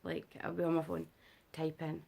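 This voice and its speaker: Rough, gravelly British woman vlogging. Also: monotone, moderate pace, dark tone. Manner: rambling, filler-heavy, low-energy. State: unwell and sleep-deprived. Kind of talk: casual health update vlog.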